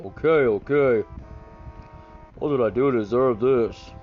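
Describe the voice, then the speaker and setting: A man's voice: medium pitch, a little slow, close to an everyday voice. A man voicing a put-upon young male game sidekick, willing but plaintive and protesting. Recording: muffled, faint music behind.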